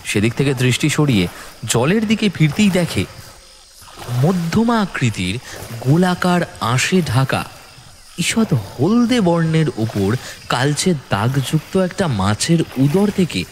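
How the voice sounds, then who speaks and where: Male narrator, dramatic horror storytelling, lively varied intonation, suspenseful, faint crickets and frogs behind. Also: on the high side, takes it slowly, slight background noise.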